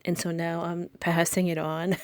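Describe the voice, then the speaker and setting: Woman, podcaster, lowish soothing voice, loose rambling delivery with many fillers. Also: little pitch movement, normal speed, dry recording. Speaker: spiritual podcaster. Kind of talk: casual spiritual monologue. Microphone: close microphone.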